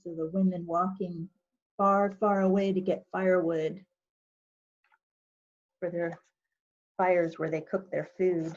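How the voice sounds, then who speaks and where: Slow-paced woman reading a children's story. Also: lowish voice, level tone, a little mellow, muffled recording. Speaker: volunteer reader of a children's book. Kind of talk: read-aloud storytelling of a picture book.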